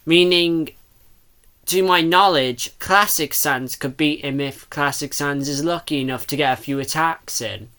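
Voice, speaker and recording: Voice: medium pitch, bright, forceful tone, smooth. Someone giving casual reaction commentary on a video, rambling and second-guessing as they think aloud. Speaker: reactor commenting on a fan comic dub.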